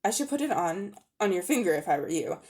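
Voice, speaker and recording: A woman's voice: medium pitch, very animated, quick pace, a little mellow, lightly acted. A woman voicing a haughty, cold-hearted older magician uncle in a dramatic storybook reading, condescending and coolly menacing. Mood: instructive, sly.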